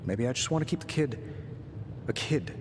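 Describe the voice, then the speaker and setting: A wisecracking inventor superhero from a video game , played by a man. He is middle-aged, glib and chatty, with a medium-pitched voice in a reverberant space with clear rumble behind him. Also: lively intonation, normal speed, lightly acted. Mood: earnest, reflective. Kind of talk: cinematic video game acting.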